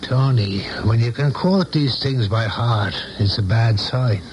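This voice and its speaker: An actor in a 1970s radio play, playing an older saloon keeper who is folksy, shrewd and philosophical with a loyal friend. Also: male voice, medium pitch, a little slow, a little hiss or hum, lightly acted. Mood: frank, reassuring. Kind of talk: 1970s radio drama acting. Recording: dull vintage radio broadcast, music behind.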